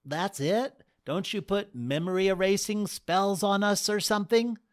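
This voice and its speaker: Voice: medium pitch, measured pace, dry recording, studio quality, pitched above the performer's own voice, close to an everyday voice. A narrator doing a worried young groom.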